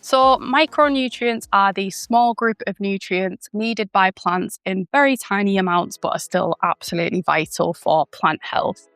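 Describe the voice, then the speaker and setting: A woman who is unwell and croaky, explaining a topic in a chatty, informal way as the host of a channel. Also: medium pitch, measured pace, fairly bright tone, no room sound, soft background music. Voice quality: gravelly. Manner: chatty, informal, with fillers and self-corrections. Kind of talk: educational explainer.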